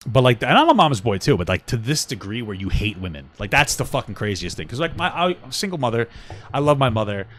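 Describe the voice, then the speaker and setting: A young man co-hosting a casual couple podcast at home, rambling and profane, often dropping into mocking high-pitched voices. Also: sing-song, quick pace, fairly bright tone. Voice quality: smooth voice. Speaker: podcast co-host. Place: home room with a fan.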